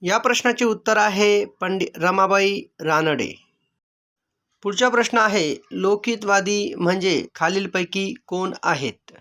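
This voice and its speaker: A man reading out multiple-choice quiz questions and answers as a teacher, in a measured, formulaic way. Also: sits high, bright, forceful tone. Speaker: exam-prep quiz teacher.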